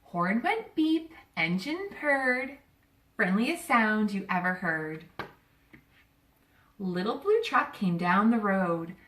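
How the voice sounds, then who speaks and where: A woman reading a rhyming children's story aloud in an expressive, deliberate way. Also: medium pitch, slight background noise. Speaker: volunteer story reader. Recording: roomy echo, distant microphone.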